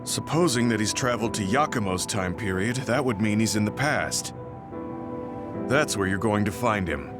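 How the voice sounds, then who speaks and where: An actor playing a calm, steady, mature squad mate in an anime-style video game. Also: male voice, on the low side, normal speed, music in the background, lightly acted. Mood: calm, considerate.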